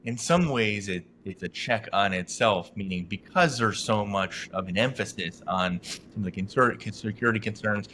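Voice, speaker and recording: A man's voice: lowish voice, normal speed, pushed and bright, slight background noise. A man hosting an interview, asking long, rambling questions full of fillers. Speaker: show host, interviewer.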